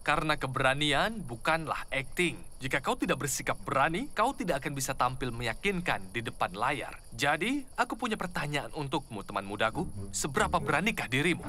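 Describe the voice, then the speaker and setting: Vain cowardly movie hero, animated comedy, theatrical, higher-pitched, very lively intonation. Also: male voice, brisk. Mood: boastful, grand. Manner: grandiose, theatrical, panics easily. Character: boastful action film star, cowardly underneath.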